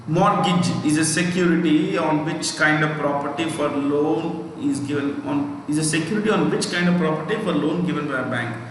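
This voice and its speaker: A man's voice: a little high, normal speed, hardly any pauses. A man with an Indian accent teaches a banking exam lesson, repeating and spelling out key phrases and checking in with the listener. He is not a native Hindi speaker. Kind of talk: live exam lesson, explaining and dictating. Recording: echoey reverberant room, distant mic, faint noise.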